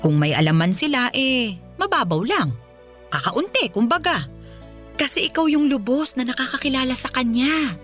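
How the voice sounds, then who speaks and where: A woman's voice: high-pitched, sing-song, moderate pace, fairly bright tone, soft background music. An actress playing a caring, perceptive middle-aged mother in a radio drama, coaxing and firmly reassuring. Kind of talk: Filipino radio drama acting.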